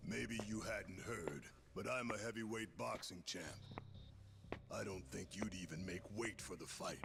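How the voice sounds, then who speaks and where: An actor playing a cocky, menacing yakuza boss and boxing champion, taunting without hurry in a video game. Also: male voice, fairly low pitch, gravelly, background noise. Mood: smug menace. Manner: taunting, unhurried. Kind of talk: dramatic video game voice acting. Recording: loud game music behind voice.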